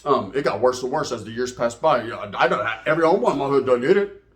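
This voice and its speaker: A man's voice: mid-range voice, animated, fast talker, a bit bright. A middle-aged man tells a comedic story informally, profane and boastful, wandering off and checking in with tag questions.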